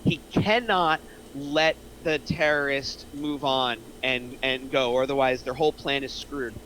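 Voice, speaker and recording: A man's voice: mid-range voice, steady tone, a little slow, fairly bright tone, slight background noise, poor audio. A man giving casual, explanatory feedback in a group discussion, using examples as he goes. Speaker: script feedback panelist. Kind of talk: casual group critique of a screenplay.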